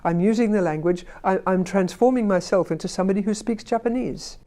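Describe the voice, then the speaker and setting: Woman, language teacher, mellow tone, emphatic, fond of rhetorical questions. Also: mid-range voice, fairly quick, a little hiss or hum. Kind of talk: conversational discussion.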